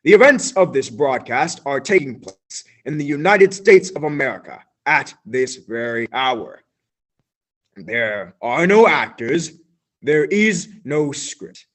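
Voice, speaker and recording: A young male student actor playing a flustered, solemn radio announcer in a stage radio play, with theatrical pauses. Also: medium pitch, sing-song, fairly bright tone, full character voice.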